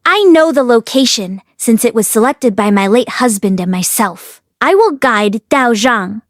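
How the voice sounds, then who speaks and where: A woman's voice: on the high side, expressive, measured pace, dry recording, clean studio sound. A woman voicing a composed, gallant widow in an audiobook, polite and helpful.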